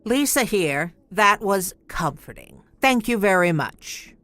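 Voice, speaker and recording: An actress playing a cool, scheming agency boss in an audio comedy, with a dry, sarcastic, controlled delivery. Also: female voice, medium pitch, animated, takes it slowly, a bit bright, no echo.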